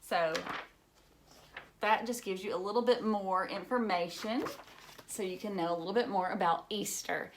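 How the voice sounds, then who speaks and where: A woman's voice: mid-range voice, moderate pace, pauses often, a little hiss or hum. A woman reading a children's book aloud like a teacher, explaining things simply and adding chatty asides addressed to young listeners. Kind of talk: read-aloud storybook narration with chatty asides.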